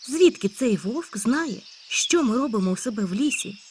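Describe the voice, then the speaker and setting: Woman doing a calm, busy hedgehog. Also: on the high side, normal speed, noisy background, lightly acted. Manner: calm, matter-of-fact, scolding when cross. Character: calm hardworking male hedgehog. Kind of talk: storybook character voice.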